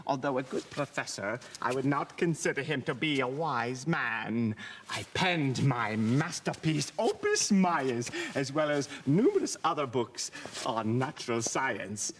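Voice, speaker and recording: An actor voicing a pompous, theatrical, centuries-old eccentric warlock in a video game. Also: male voice, medium pitch, lively intonation, a little slow, a bit bright, a little hiss or hum. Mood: proudly boastful. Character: eccentric, boastful six-hundred-year-old undead warlock scholar.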